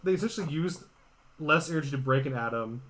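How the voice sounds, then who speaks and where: A man's voice: fairly high pitch, animated, quick pace, a bit bright. A man co-hosting a casual podcast, rambling and restarting his sentences as he rants. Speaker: podcast co-host. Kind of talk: casual chat.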